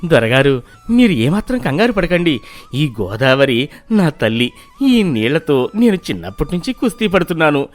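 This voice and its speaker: A man voicing a humble, brave middle-aged boatman in an animated storybook, speaking deferentially and earnestly. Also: on the high side, normal speed, faint music behind. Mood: resolute.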